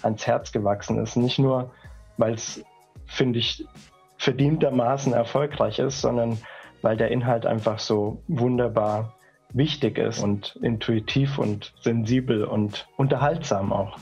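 A man's voice: medium pitch, steady tone, unhurried, a little mellow, breathy edge, faint music behind. A man in his forties from southern Germany, talking casually and hesitantly as a guest on a video call. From Stuttgart, Germany. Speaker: guest, marketing manager. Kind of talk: casual conversational talk. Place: indoors, office chair, video call. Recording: muffled video call audio.

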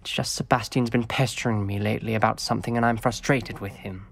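A man's voice: on the low side, fairly even intonation, fast, creaky, dry recording. A man playing a reserved, principled teenage student wizard with formal, earnest speech in a video game cutscene. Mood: troubled, wary.

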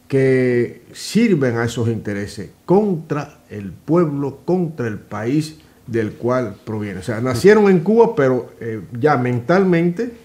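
A man in his sixties, a guest in a political commentary chat, speaking indignantly and emphatically with halting restarts in a small office studio. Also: mid-range voice, a little mellow.

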